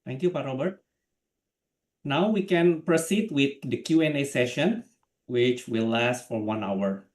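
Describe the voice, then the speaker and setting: A man's voice: medium pitch, measured pace. A man with an Indonesian accent formally moderating questions on a business call, with a roomy sound.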